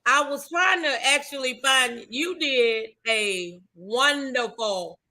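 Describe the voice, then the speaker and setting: A woman's voice: sits high, slow, pushed and bright. A woman hosting a casual live talk show, chatting in a folksy, informal and affectionate way.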